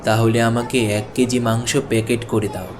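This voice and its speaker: A man's voice: mid-range voice, fairly even intonation, a little fast, a faint crowd, a little hiss or hum, close to an everyday voice. A man voicing an ordinary male customer in a cartoon, asking about prices. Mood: curious. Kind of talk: cartoon voice acting.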